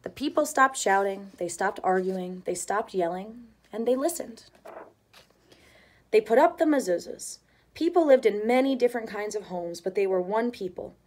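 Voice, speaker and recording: A woman reading a children's picture book aloud, voicing the characters' lines with lively expression. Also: mid-range voice, normal speed. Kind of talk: storybook read-aloud.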